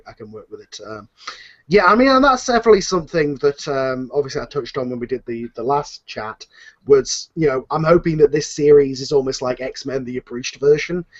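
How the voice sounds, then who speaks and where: A man hosting a casual cast Q&A as the series writer, talking in a rambling, halting way and often interrupting himself. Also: mid-range voice, dull audio. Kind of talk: casual online cast Q&A chat.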